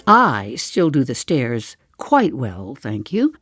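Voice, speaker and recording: An actress in her seventies playing a darkly comic old woman in an audio drama monologue, confiding in a deliberate way with dry understatement. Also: very deep voice, wildly swooping pitch, music in the background, exaggerated voice. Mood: dry, rueful. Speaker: actor in a one-woman play.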